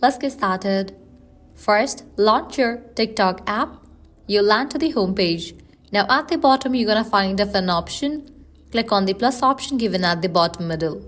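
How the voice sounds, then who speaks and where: A woman's voice: mid-range voice, animated, normal speed. A woman narrating a scripted how-to guide, giving instructions step by step. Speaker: tutorial narrator.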